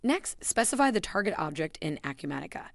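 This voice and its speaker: A woman giving a scripted, even voice-over that walks through software steps. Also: on the low side, fairly quick, creaky, dry recording, studio quality. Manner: even, instructional delivery. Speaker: software tutorial narrator.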